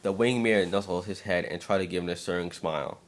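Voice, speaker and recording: A man narrating a scripted fan-fiction story as a dramatic reading, expressive but now and then stumbling over words. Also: sits low, fast talker, slight background noise.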